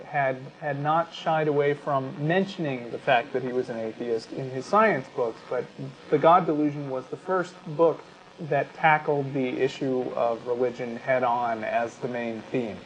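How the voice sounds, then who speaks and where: A man co-hosting an unscripted talk show, explaining his views in a measured, halting way. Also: medium pitch. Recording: dull lo-fi sound with clear background noise.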